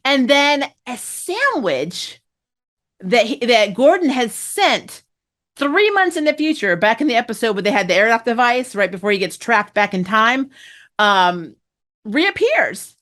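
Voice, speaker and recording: A woman's voice: on the high side, sing-song, unhurried, fairly bright tone. A woman hosting a fan review, casually recapping a TV show with chatty, slangy, gushing enthusiasm and plenty of opinion. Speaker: host of a fan TV review channel.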